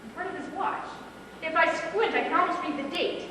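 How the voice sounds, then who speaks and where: An actress playing a worried, exasperated young sister in a live amateur stage play. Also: female voice, extremely high pitch, fairly quick. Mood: curious. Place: small theatre stage, distant microphone. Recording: echoey, noisy theatre recording from far off.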